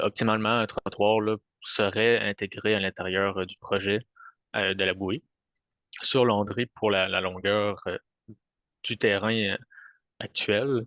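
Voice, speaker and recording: Male official, low and flat, formal and halting. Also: dry recording, lo-fi sound. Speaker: city official. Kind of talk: answering at a public meeting.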